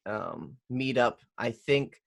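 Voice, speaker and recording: A young man from Pennsylvania introducing himself in a relaxed, casual way on a video call. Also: mid-range voice, takes it slowly, creaky. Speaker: coding program participant. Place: indoor bedroom. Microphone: studio mic with headphones.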